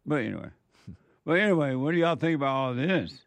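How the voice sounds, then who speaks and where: A man's voice: mid-range voice, big pitch swings, quick pace, gravelly, dry recording. A man hosting a call-in talk radio show, talking in a brash, joking way and laughing often.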